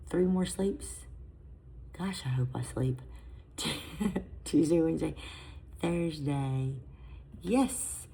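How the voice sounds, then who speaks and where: A woman in her fifties with a raspy voice, a fitness coach chatting casually to camera in a home vlog, self-deprecating and loose. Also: extremely low pitch, a little mellow. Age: 50s. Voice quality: raspy, gravelly. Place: home garage on a treadmill.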